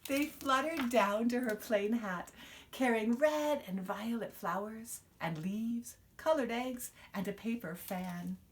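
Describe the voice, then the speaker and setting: A woman reading a children's picture book aloud, expressively, with dramatic pauses and emphasis. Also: on the high side, slight background noise. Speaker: storybook reader.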